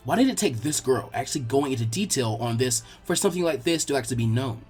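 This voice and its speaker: A man reading fan fiction aloud as an expressive scripted narration. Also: mid-range voice, fast talker, faint music behind.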